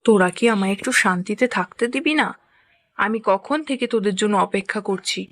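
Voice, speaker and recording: A woman voicing an exasperated, scolding village mother in a cartoon, sharp-tongued and full of indignant questions. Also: mid-range voice, fairly quick, natural voice. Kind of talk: Bengali cartoon voice acting.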